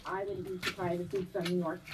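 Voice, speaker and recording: A woman actress being interviewed on a live stream, chatty and animated, laughing often. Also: on the low side, quick pace. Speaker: guest actress. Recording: distant mic with faint noise.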